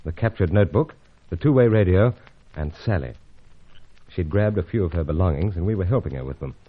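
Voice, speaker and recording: A dull, lo-fi radio adventure recording of a British secret agent. The voice is low and husky, swinging widely in pitch. He is breezy, gallant and unflappable, given to old-fashioned understatement. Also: animated, a little fast. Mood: narrating. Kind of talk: old-time radio adventure serial acting.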